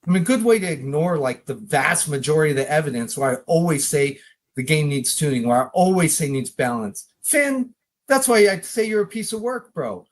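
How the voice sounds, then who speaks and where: A man's voice: a little high, lively intonation, a little fast. A man debating online in an informal, emphatic, argumentative conversational way. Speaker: debate participant. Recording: clear background noise.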